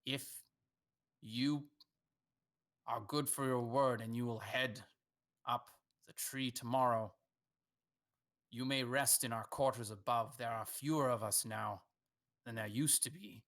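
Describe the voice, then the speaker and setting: A man voicing an old male mouse elder who speaks with measured, courteous caution. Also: mid-range voice, steady tone, a bit bright. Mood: solemn. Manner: courteous, measured, slightly weary.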